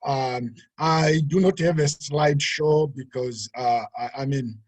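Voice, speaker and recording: Husky-voiced Zimbabwean pastor, halting. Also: male voice, medium pitch, no echo. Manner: halting, many fillers and restarts. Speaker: pastor and webinar speaker. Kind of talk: webinar talk.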